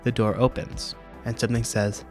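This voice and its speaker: A man's voice: mid-range voice, little pitch movement, moderate pace, darkish tone, soft background music. A man hosting a video review, narrating and commenting from a script. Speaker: YouTube host reviewing horror videos.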